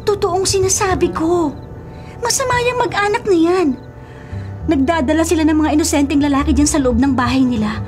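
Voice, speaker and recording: A secretive, urgent informant in a radio drama. Her voice is extremely high and insistent, set over faint background music. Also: a little fast. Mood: insistent, grave. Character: urgent, secretive woman warning of danger.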